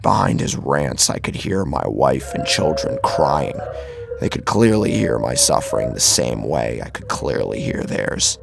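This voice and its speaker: A man narrating a first-person horror story dramatically, with a grave, intense delivery and a husky, gravelly voice. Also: rumbling low, big pitch swings, unhurried, over music.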